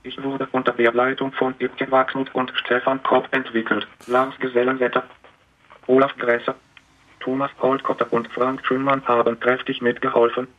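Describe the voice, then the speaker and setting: A synthetic male computer voice for a conversational robot, halting and stilted, heard through a dull phone line. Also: medium pitch. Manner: flat, stilted. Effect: computer speech synthesis. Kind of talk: synthetic chatbot small talk. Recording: dull, noisy phone pickup.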